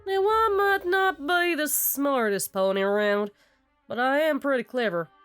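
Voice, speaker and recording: Brief: knowing, folksy cartoon farm mare done by a woman, fairly high, lively pitch. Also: moderate pace, no room sound. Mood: smug. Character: sensible, smug, folksy female farm pony. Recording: faint music behind the voice.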